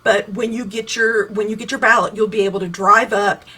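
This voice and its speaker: A woman's voice: mid-range voice, steady tone, fairly quick, pushed and bright, husky, low-quality recording. A woman in her fifties, a political candidate from Kentucky, explaining voting options and answering viewer questions in a casual, conversational livestream with frequent restarts. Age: 50s.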